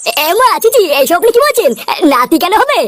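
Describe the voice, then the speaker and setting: A performer voicing a flustered, excitable old grandfather in a cartoon comedy, with a pitched-up voice and hesitant delivery. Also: brisk, no room sound, exaggerated voice. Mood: flustered, smitten. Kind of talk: exaggerated Bengali cartoon comedy dialogue.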